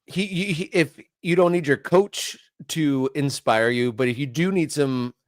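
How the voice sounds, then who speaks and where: A man's voice: fairly high pitch, unhurried, dry recording, clean studio sound. Teasing man hosting soccer talk. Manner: joking, teasing. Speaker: show host. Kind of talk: casual sports talk.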